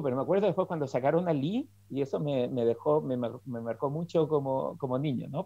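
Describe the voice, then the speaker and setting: A man's voice: medium pitch, mellow, no echo. Middle-aged man, reflective guest; dull, dry call audio. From Chile. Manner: reflective storytelling with many fillers and restarts. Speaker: political scientist guest. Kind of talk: interview over video call. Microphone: video call headset.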